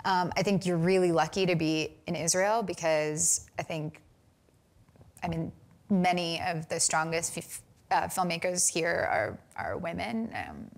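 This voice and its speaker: A young American woman answering interview questions thoughtfully, with many pauses, fillers and restarts. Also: lowish voice, gravelly. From United States. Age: young adult. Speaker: film director, interview guest.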